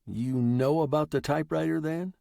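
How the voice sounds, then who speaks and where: A man's voice: a little high, moderate pace, gravelly, dark tone, no echo, studio quality, voice pushed up. A man voicing a fat old male pawnbroker in a story, tired, furtive and resigned.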